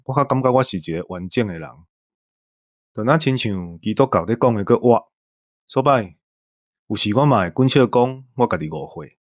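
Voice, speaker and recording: A man's voice: fairly low pitch, no echo, clean studio sound. A man with a Taiwanese accent in casual chat as a host, joking and reflective.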